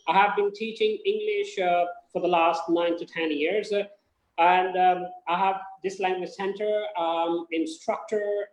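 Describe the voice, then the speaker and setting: Pakistani-born male English teacher, halting, fillers; high voice, roomy sound. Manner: hesitant, many fillers. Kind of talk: self-introduction on a video call. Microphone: video call. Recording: echoey room on a call.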